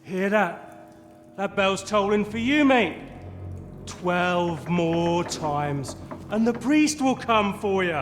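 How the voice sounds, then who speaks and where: A taunting jailer. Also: male voice, high-pitched, lively intonation, slow, music in the background. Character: mocking jailer guard, cruel and taunting.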